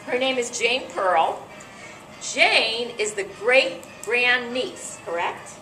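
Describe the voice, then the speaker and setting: A middle-aged American woman with a loud voice, hosting an event and giving an informal, chatty talk to a gathering of guests. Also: a little high, lively intonation, slow. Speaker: event host and board director. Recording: echoey room from far off, faint music.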